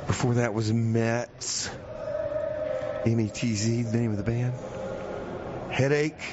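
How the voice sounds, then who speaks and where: An actor playing an easygoing male radio host stuck in jail, halting, rambling and folksy in a live comedy show. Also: mid-range voice, fairly even intonation, close to an everyday voice. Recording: muffled radio, noisy background.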